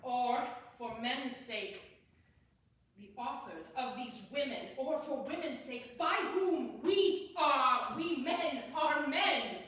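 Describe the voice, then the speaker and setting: Woman playing a high-voiced lovestruck lord, echoey hall. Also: fairly bright tone. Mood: rapt, persuasive. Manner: declamatory, rhetorical, with dramatic pauses. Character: witty, passionate young lord, eloquent lovesick male scholar. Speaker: amateur stage actor in a Shakespeare reading. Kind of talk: theatrical Shakespearean verse, staged reading. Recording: distant, echoey hall with faint noise.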